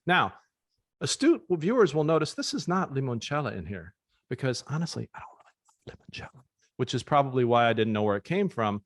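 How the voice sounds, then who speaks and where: A man's voice: mid-range voice, big pitch swings, a little fast, no echo, clean studio sound. American man hosting a casual podcast. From United States. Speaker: podcast host.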